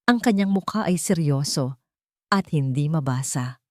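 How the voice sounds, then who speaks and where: A woman reading a scripted first-person dramatic story as narrator, in an earnest, deliberate way. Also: low voice, expressive, darkish tone, no echo, studio quality.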